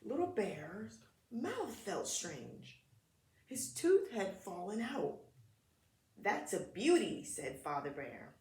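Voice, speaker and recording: A woman's voice: medium pitch, huge pitch swings, slow, dark tone. A woman reading a picture book aloud to preschool children in short, simple phrases, talking to them directly. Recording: echoey room.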